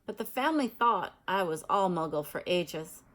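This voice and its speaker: A woman's voice: fairly low pitch, animated, normal speed, fairly bright tone. A woman voicing an earnest, rambling young schoolboy in a storybook.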